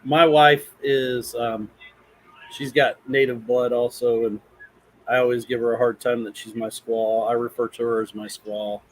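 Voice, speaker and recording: A man's voice: mid-range voice, little pitch movement, unhurried, poor audio. A man co-hosting a casual live chat, teasing the others in a playful way.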